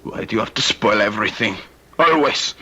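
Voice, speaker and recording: A man's voice: lowish voice, animated, a little fast, sharp tone, breathy edge, exaggerated voice. A man playing an intense, shy, embittered Spanish teenage boy in an old-time radio drama, with a Spanish accent and an earnest, formal way of speaking. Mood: bitter. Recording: noisy vintage radio recording.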